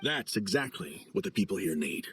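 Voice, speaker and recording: An actor playing a dignified, heartfelt desert mercenary leader in a video game, speaking in a solemn, measured way. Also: male voice, deep voice, very animated, a bit bright, a little hiss or hum. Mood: businesslike, grateful. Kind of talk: video game cutscene voice acting. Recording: faint music behind voice.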